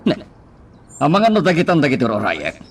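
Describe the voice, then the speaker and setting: Smug Ilocano boss, slightly distant. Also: male voice, fairly high pitch, wildly swooping pitch, a little fast, slight background noise. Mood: commanding. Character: powerful scheming boss, smug, commanding man. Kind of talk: radio drama acting.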